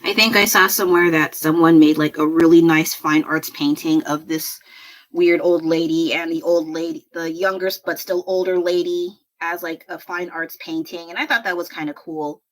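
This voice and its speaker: A woman with a low voice, chatting casually at her home desk while drawing on a livestream, rambling and poking fun at herself with frequent laughs. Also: steady tone, normal speed, poor audio. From United States. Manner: rambling, self-deprecating, laughs often. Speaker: livestreaming artist.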